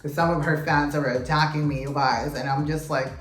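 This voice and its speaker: A chatty pageant vlogger dishes on the results with plenty of sass and gossip, talking straight to the viewers. The voice sits at a middling pitch and bounces off a roomy indoor space, with faint music underneath. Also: flat delivery, a little fast, a bit bright. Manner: sassy, gossipy, addresses viewers as "you guys" and "queens". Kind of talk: casual recap commentary to camera.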